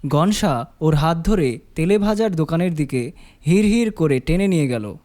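A man's voice: fairly high pitch, animated, moderate pace, mellow. A man reading a scripted story as its narrator. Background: music.

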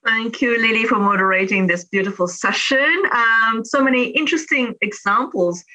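Bright-voiced woman hosting a webinar. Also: fairly high pitch, moderate pace, poor audio. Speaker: webinar host.

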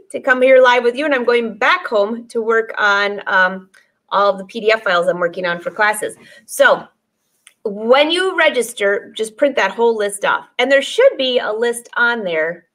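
A woman's voice: on the high side, fairly bright tone. A woman in her forties, a sewing teacher chatting casually on a live stream, reading viewer comments aloud and laughing often. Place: sewing studio with dress forms, indoors.

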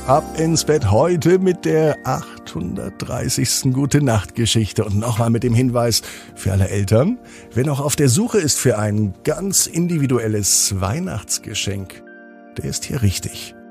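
A man narrating a bedtime story for children as a podcast host, phrasing deliberately with dramatic pauses. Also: medium pitch, lively intonation, vocal fry, darkish tone, faint music behind.

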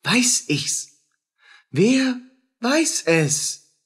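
Radio play casting: a feverish, jealous poor soldier, husky-voiced, unhurried, brooding in broken fragments. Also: male voice, extremely high pitch, big pitch swings, a bit bright, exaggerated voice. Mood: haunted, bewildered. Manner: halting, brooding, agitated fragments. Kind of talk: German radio play acting.

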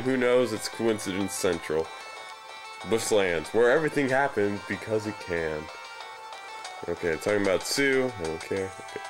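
Low-pitched sarcastic guy over game music. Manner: sarcastic, irreverent, profane. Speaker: let's play gamer. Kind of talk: casual improvised game commentary. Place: very dark room, filming a screen. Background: video game music.

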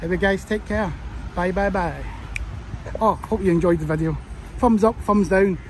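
A man's voice: sits high, normal speed, a little mellow. A Scottish man with a sore throat, chatting casually to viewers as he walks and films. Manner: chatty, rambling asides to viewers. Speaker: vlogger. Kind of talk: casual walking commentary. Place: busy city street outdoors. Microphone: handheld phone camera. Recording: outdoor street with crowd and traffic noise.

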